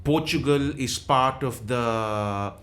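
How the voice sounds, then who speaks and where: A man's voice: mid-range voice, takes it slowly, a bit bright. A man from Sri Lanka with a Jaffna accent, explaining world affairs as an interview guest in an analytical, repetitive way with many restarts. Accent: Jaffna Tamil-influenced Sri Lankan English. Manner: explanatory, repeats himself, frequent restarts.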